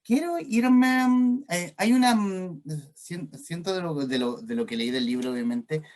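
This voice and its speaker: A man's voice: sits high, lively intonation, unhurried, a little mellow. A man with a Chilean accent hosting a conversational interview, hesitant and searching for his words. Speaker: interviewer, host.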